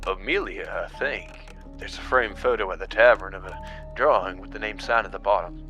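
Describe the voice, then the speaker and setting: A man playing a loyal, grieving young tavern worker in a podcast drama, halting and impassioned. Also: fairly low pitch, pushed and bright, faint music behind. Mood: subdued, grieving. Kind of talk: dramatized narrative podcast acting.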